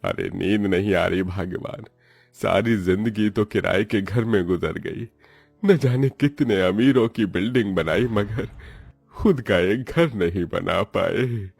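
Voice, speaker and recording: A man's voice: lowish voice, takes it slowly, creaky, a little mellow, breathy edge, dry recording, soft background music, heavily acted. A man playing a humble, weary old laborer and family elder in a cartoon story. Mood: weary, sorrowful.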